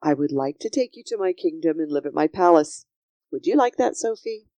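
A woman voicing a patient, kindly king in a children's storybook, measured, reassuring and quietly authoritative. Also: sits low, expressive, dry recording. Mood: inviting. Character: gentle, solemn kingly man, patient and reassuring.

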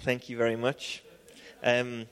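A man from Liverpool with a Liverpool accent, preaching a sermon with personal testimony in an animated way, full of restarts and "um"s. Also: mid-range voice, slow pace, slight background noise. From England. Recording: long hall reverb.

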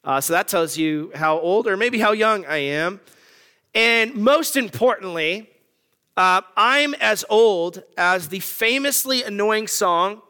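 Bright high-voiced young pastor preaching. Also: male voice, expressive, slow pace, clear, resonant tone. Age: 30s. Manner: conversational, joking with the congregation, asks questions. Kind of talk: sermon.

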